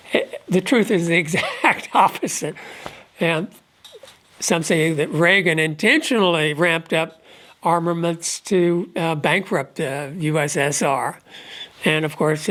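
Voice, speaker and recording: A man with a head cold and a stuffed-up voice, giving an unscripted religious commentary at a measured, halting pace, pausing often. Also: on the high side, fairly bright tone. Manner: measured, halting delivery with frequent pauses. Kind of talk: unscripted monologue on prophecy.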